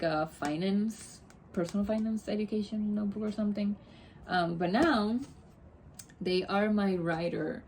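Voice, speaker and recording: A woman's voice: mid-range voice, a little mellow, a little hiss or hum. A young woman from Puerto Rico, a native Spanish speaker, chatting casually to camera in a rambling way with many asides and self-corrections. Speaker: vlogger.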